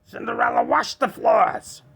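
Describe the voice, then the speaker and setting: A man voicing a cruel, haughty stepmother in a storybook, commanding and drawn-out. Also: fairly bright tone, close to an everyday voice. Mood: bossy. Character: wicked, haughty stepmother, cruel middle-aged woman. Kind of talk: children's storybook character voice.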